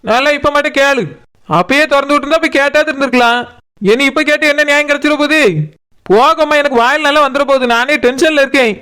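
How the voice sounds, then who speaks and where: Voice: sits high, steady tone, quick pace, pushed and bright. A performer voicing an indignant, sarcastic village daughter in a comic cartoon, with a Kanyakumari Tamil accent. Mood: sarcastic, angry. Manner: scolding, sarcastic. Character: indignant village daughter, scolding her mother.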